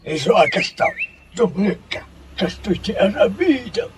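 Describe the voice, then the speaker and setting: A man's voice: high-pitched, huge pitch swings, moderate pace, noisy background. A man with a Filipino Ilocano accent playing a cantankerous, scolding old man in a radio comedy drama. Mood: lecturing. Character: cantankerous old man threatening with his cane.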